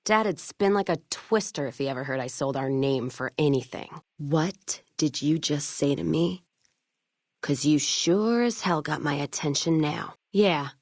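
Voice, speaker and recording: Tough American woman caravan boss, low pitch, dry close sound, hard-drinking, sardonic, blunt, western video game character. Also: measured pace, a little mellow, no echo. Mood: defiant, irritated. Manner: blunt, sardonic, profane frontier drawl with folksy turns of phrase. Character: hard-drinking, sharp-tongued woman caravan owner in a post-apocalyptic western.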